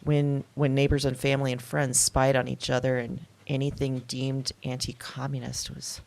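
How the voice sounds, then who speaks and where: A woman's voice: extremely low pitch, flat delivery, normal speed, vocal fry, darkish tone. A woman playing a shaken, thoughtful diarist in an intimate audio drama monologue, speaking hesitantly in broken, reflective phrases. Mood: resolved, wondering.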